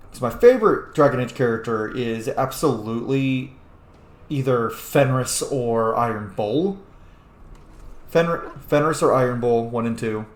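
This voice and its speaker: A man's voice: mid-range voice, fairly bright tone. A man giving casual, rambling, opinionated gameplay commentary with some swearing. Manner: opinionated, profane. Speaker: gameplay host. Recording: echoey room, faint noise.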